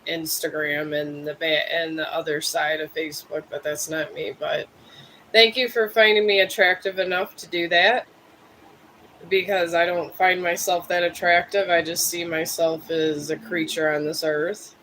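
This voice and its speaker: A man in his fifties from Ohio, chatting casually with viewers on a live stream in a rambling, musing, self-deprecating way, a bit high. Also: flat delivery, normal speed, sharp tone, slight background noise, poor audio. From Cleveland, Ohio. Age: 50s.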